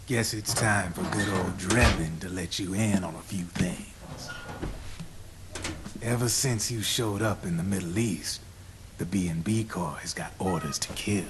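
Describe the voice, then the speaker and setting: An American actor playing a slick, talkative arms dealer in a video game cutscene, with streetwise, theatrical, drawn-out phrasing. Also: male voice, deep voice, noisy background. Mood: confiding. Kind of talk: video game cutscene acting, explanatory monologue. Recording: loud music over the voice.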